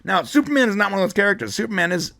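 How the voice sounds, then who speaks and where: A man's voice: high voice, animated, racing, gravelly, pushed and bright. A man giving casual pop culture commentary as a show host, explaining things in an enthusiastic, conversational way. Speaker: show host and comic book fan commentator.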